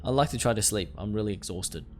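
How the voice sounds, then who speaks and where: A man reading the part of a subdued, weary eleven-year-old girl in a horror game, quietly and plainly, over music. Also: fairly low pitch, animated, fast, a little hiss or hum, close to an everyday voice. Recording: music behind the voice.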